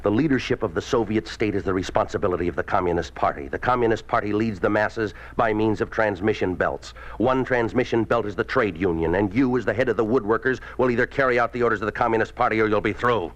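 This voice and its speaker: An actor playing a domineering Communist Party boss in a 1950s radio drama, clipped, commanding and coldly sarcastic. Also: male voice, on the low side, quick pace, hardly any pauses, highs cut off, close to an everyday voice. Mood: stern, impatient. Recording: old 1950s radio broadcast, music behind.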